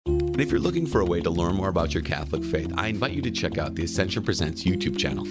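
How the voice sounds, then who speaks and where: A man reading a promotional spot in an upbeat, brisk way. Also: sits low, big pitch swings. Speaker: ad announcer. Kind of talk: promotional read. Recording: voice over loud guitar music.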